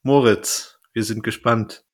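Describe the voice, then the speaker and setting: A man's voice: on the low side, steady tone, slow, breathy edge. A man hosting a podcast, moderating in a casual, chatty style. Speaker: podcast host.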